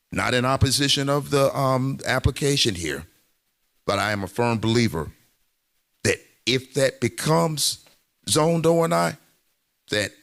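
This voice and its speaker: A man's voice: sits low, a bit bright. A man speaking at a podium during a city council meeting, making an emphatic public comment. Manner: emphatic, repetitive civic speech. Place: council chamber.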